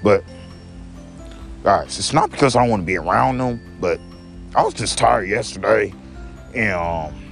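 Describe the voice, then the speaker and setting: A young man with a deep voice talking in a rambling daily vlog, repeating each point in an if-you, to-me pattern and calling his viewers guys. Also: a little slow, creaky, long pauses, faint music behind. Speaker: vlogger.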